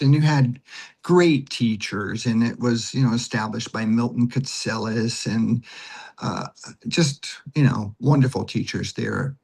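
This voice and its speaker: A man's voice: medium pitch, creaky, a little mellow, breathy edge. A man speaking conversationally in an interview as an acting teacher and director, with an emphatic, instructive manner that leans on repeated phrases.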